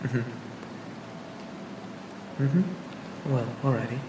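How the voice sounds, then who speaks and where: A man playing a charming, pleasant AI assistant for a police officer in a soothing, gently reassuring ASMR roleplay. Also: medium pitch, extremely slow, echoey room, recorded from a distance, noisy background. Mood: pleasant, encouraging. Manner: gentle, hesitant, reassuring, conversational.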